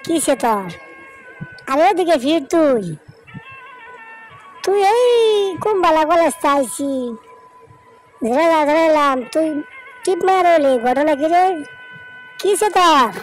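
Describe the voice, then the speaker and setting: A woman's voice: high voice, lively intonation, slow pace, pauses often, soft background music, poor audio. A woman voicing a protective, emotional elder sister in a cartoon drama, emphatic and repetitive, with a rural Bangladeshi accent. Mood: concerned, prodding.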